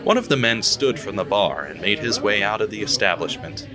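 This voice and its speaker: A man narrating a scripted audio drama in a steady storytelling manner, over background music. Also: fairly low pitch, brisk, a crowd in the background.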